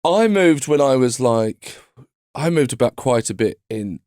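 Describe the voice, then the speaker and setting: A man in his thirties from London hosting a podcast, chatty and quick to laugh as he asks questions. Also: medium pitch, lively intonation, darkish tone, no echo, studio quality. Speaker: podcast host. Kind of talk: casual interview chat. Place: podcast studio.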